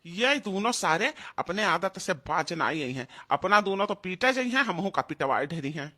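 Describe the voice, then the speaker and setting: Performer as a bright-voiced, bossy cartoon village thief. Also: mid-range voice, sing-song, normal speed. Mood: greedy, boastful. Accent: Awadhi, eastern Uttar Pradesh. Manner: bossy, scolding. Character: bossy, greedy male village thief leading a drone gang. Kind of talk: Awadhi comedy cartoon dub.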